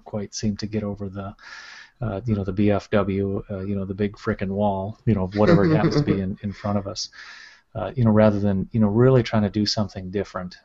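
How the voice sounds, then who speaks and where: A middle-aged man, a school administrator, talking steadily in a casual interview with many fillers. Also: lowish voice. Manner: steady, filler-heavy with many "you know"s. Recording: dull lo-fi sound.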